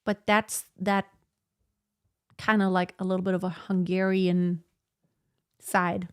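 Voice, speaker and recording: A woman's voice: fairly low pitch, little pitch movement, slow pace, smooth. A woman in her thirties, a vocal coach hosting a live Q&A, chatting loosely and rambling with lots of fillers and restarts as she reads out viewer comments. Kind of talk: casual live-stream chat reading viewer comments. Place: home in southern Germany.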